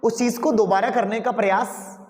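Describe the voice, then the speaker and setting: A man's voice: high-pitched, fairly even intonation, normal speed, roomy sound, in a large hall. A male English teacher, lecturing a class and asking them rhetorical questions.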